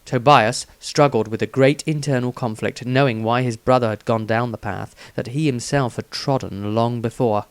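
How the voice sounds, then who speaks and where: A man's voice: medium pitch, steady tone, normal speed, few pauses, clear, resonant tone, no room sound. A man reading a scripted fantasy story aloud as its narrator.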